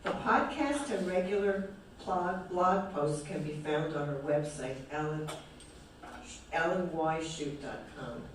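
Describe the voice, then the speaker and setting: A man's voice: fairly high pitch, slow pace. A man with an American Midwest accent hosts an event and reads a formal, enthusiastic introduction of a guest author. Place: large event hall. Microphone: distant room mic. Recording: very echoey hall, from far off, faint noise.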